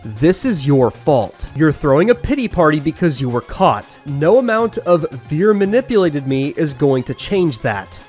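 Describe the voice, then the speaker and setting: A man's voice: fairly high pitch, very animated, measured pace, darkish tone, faint music behind. A man delivering scripted commentary as a guest, in an accusatory, emphatic manner.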